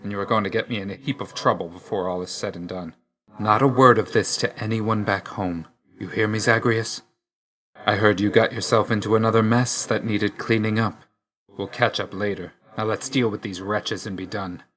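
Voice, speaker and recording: Male actor as stern death god, game character, lowish pitch, bright forceful edge, curt, deadpan, aloof. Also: normal speed, lightly acted. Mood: dry, reserved. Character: grim, aloof god of death, stern yet grudgingly loyal. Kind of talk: scripted game character lines.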